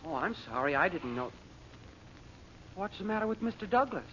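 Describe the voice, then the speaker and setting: On a dull vintage radio recording, an eager, polite young lad. His high voice swings animatedly in 1940s serial style. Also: very animated, normal speed, a bit bright. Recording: muffled old radio recording, faint noise.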